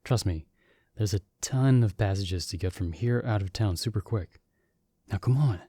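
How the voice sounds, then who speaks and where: A man's voice: fairly low pitch, normal speed, warm tone, breathy edge, dry recording. A man playing a playful young man, the hero's friend who was summoned along with him, in an ASMR audio roleplay. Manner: teasing, friendly and upbeat. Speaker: voice actor.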